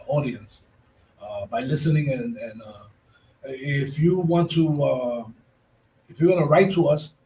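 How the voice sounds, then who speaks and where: A man's voice: medium pitch, dark tone, slight background noise, low-quality recording. A man with a Puerto Rican accent hosting a radio talk show, chatting loosely, repeating words and slipping into Spanish. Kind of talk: casual interview chat.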